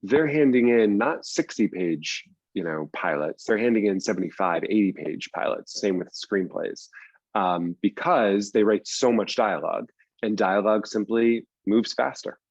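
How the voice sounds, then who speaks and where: A man's voice: on the low side, moderate pace, no echo, highs cut off. A man speaking casually as an interview guest, explaining things in loose runs with repeats for emphasis. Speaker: writer and producer, interview guest.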